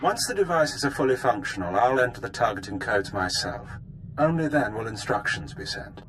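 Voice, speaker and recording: An actor playing a fervent rebel mastermind rallying followers in a game cutscene, oratorical and deliberate. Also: male voice, mid-range voice, big pitch swings, fairly bright tone, a little hiss or hum, rough recording. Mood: fervent, commanding. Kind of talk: video game cutscene acting.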